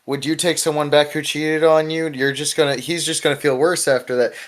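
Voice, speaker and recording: A man's voice: a little high, little pitch movement, fairly quick. A man hosting a casual discussion podcast, talking in an informal, rambling way with plenty of swearing. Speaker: podcast host.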